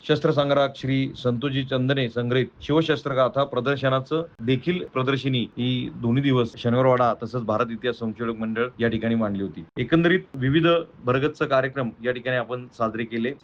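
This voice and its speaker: The sound is slightly dull and lo-fi. A man with a mid-pitched voice gives a formal explanatory account in long, flowing sentences. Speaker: event organiser and spokesman.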